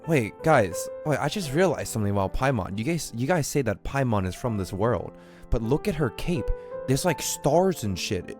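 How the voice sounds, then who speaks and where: A young man doing casual, slangy, expressive live commentary as he streams a game. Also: mid-range voice, normal speed, over music.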